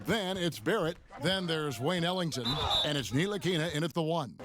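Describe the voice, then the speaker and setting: A man calling the action in a high, quick-clipped broadcast voice. His lines are short and punchy, cut for a basketball video game, with clear background noise under them. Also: animated. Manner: brisk, punchy play calls. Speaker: play-by-play commentator.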